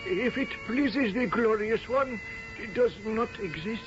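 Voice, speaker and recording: An actor playing an obsequious manservant in a radio drama, hesitant and flowery. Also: male voice, very high-pitched, slow pace, music in the background. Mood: fawning, hesitant. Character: obsequious Indian manservant, flowery, timid, slyly superior. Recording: dull vintage radio drama recording.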